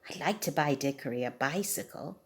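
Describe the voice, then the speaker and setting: A woman's voice: sits low, animated, brisk. A woman voicing an earnest, secretive male cat in a children's storybook, speaking expressively. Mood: pondering. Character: earnest, secretive young male cat, thoughtful gift-giver.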